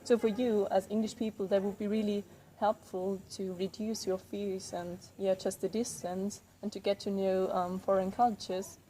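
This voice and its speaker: Woman doing earnest young newcomer, mellow voice, lots of ums. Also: mid-range voice, little pitch movement, moderate pace, few pauses, a little hiss or hum, lightly acted, rough recording. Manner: earnest, hesitant with fillers. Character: young woman newcomer, eager community volunteer. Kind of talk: classroom role-play.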